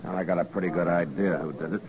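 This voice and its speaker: An actor playing a brooding, weary, principled western lawman, terse and gruff, in a 1950s radio drama. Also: low-pitched, steady tone, quick pace, a crowd in the background. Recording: muffled vintage radio recording.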